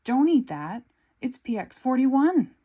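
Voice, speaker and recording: A woman reading a storybook aloud, voicing an excitable, bald male ex-villain turned spy who talks high and loud. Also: takes it slowly, heavily acted. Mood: excited.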